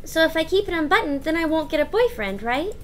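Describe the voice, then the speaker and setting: A woman's voice: very high voice, very animated, quick pace, soft background music. A woman voicing a sweet, playful, childish teenage schoolgirl with a cutesy, teasing manner in a visual novel. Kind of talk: visual novel read-aloud.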